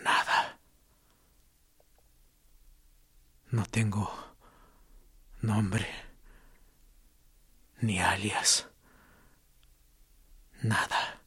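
An actor playing a battered male political prisoner in a radio drama, whispering hoarsely with bitter, mocking defiance. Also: on the low side, little pitch movement, extremely slow, pauses often, pushed and bright, full character voice. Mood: weary defiance. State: exhausted from torture.

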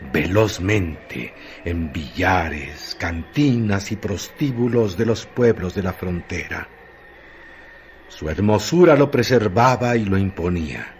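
Man, literary drama narration, fairly deep, grave spaced phrases, slight background noise. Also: darkish tone.

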